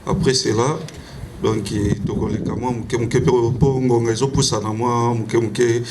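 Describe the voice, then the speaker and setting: A man's voice: mid-range voice, level tone, measured pace, a little mellow. Man casually announcing funeral plans. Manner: casual, practical announcements mixing in French. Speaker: funeral master of ceremonies.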